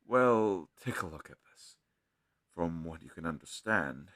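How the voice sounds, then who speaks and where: A man playing an earnest, gentle healer mage in a fantasy audio roleplay, talking hesitantly and thoughtfully to a travel companion. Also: low-pitched, no room sound. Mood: purposeful, explaining. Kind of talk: scripted fantasy audio roleplay addressed to the listener.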